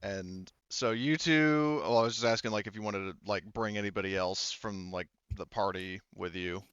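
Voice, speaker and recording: Male game master, clear smooth tone, informal hesitant improvised narration, dry and slightly muffled recording. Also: lowish voice, moderate pace, a bit bright, dry recording. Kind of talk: casual improvised tabletop narration.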